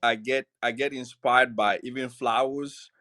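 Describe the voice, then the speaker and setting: A man in his forties from Cameroon with a Cameroonian accent, chatting as a podcast guest, relaxed and laughing easily between filler pauses. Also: mid-range voice. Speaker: podcast guest, clothing brand founder. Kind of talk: casual interview chat.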